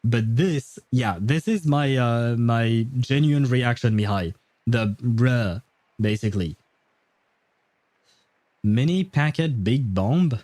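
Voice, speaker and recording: Mellow, blunt male game streamer. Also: mid-range voice, lively intonation, slow, dry recording. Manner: wry, blunt, profane. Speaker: livestreamer. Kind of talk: casual game stream commentary.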